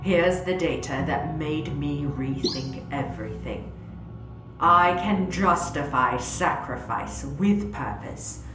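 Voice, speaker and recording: A woman acts out a grave sci-fi commander with a low, unhurried voice. She speaks with measured authority, each phrase deliberate. Music plays clearly behind her. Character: grave female military commander sharing secret doubts. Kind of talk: sci-fi audio drama acting. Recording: roomy echo under prominent music.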